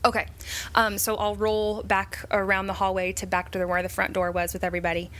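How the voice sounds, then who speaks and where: A woman's voice: mid-range voice, completely flat delivery, a little fast, fairly bright tone. A woman playing a tabletop game, speaking casually as she plans her character's moves.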